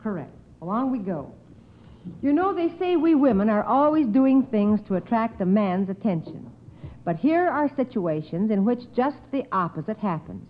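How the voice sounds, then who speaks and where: A woman's voice: a little high, very animated, normal speed. A woman hosting a radio quiz in a polite, formal way, reading out questions. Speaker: quiz show host. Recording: muffled old radio recording.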